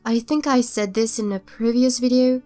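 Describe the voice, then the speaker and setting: A woman's voice: on the high side, steady tone, normal speed, dark tone, faint music behind. A young woman with a cold and a stuffed-up voice, not a native English speaker, casually chatting in a rambling, hesitant voiceover. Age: young adult. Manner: rambling, hesitant, many restarts. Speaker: YouTuber narrating a speed build.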